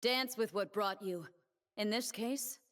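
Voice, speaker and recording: A young female blade dancer for a video game. She speaks slowly and solemnly, with earnest resolve. Every line is measured and determined, the voice of a fighter devoted to her cause. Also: medium pitch, a bit bright. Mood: solemn, resolute. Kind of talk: scripted video game character voice lines.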